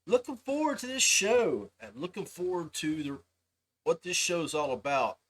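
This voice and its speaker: Man, podcast host, slightly high voice, casual informal livestream talk. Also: very animated, normal speed, breathy edge. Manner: informal, addresses listeners as guys.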